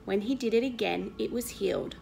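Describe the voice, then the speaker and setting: Woman reading a children's story, creaky edges. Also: mid-range voice, a little fast, soft background music, low-quality recording. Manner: expressive, teacherly, asks the children questions. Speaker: Sunday school story reader. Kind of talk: children's storytelling read aloud with explanations. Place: indoor living room at home.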